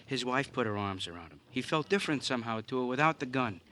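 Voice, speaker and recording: An actor playing an earnest, anxious police sidekick in a 1950 radio crime drama, colloquial and pleading. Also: male voice, medium pitch, animated, fast talker, sharp tone, slight background noise. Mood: exasperated. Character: excitable, loyal male police sidekick, anxious and plain-spoken.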